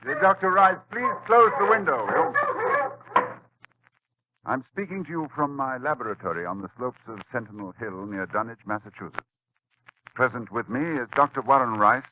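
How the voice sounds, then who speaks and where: An actor playing a grave, urgent professor who narrates a horror tale in formal, solemn tones in a 1940s radio drama. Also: male voice, on the low side. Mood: anxious, urgent. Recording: muffled vintage 1940s radio recording.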